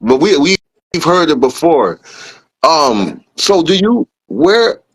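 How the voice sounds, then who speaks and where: A man hosting a podcast, chatting casually and excitably, jumping between questions. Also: a little high, takes it slowly, slightly rough, low-quality recording. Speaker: podcast host. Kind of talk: casual chat.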